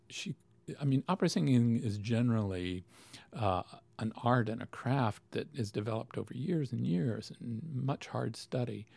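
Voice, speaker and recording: A man's voice: fairly low pitch, creaky, a little mellow, breathy edge, no room sound. A man answering interview questions about opera in a thoughtful way, with many fillers and restarts. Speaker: opera expert guest.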